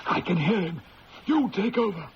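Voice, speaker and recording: An actor playing a calm, patient male police inspector with a steady, quietly menacing way of talking, in a 1970s radio drama. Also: sits high, moderate pace, muffled recording. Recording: hissy old radio broadcast.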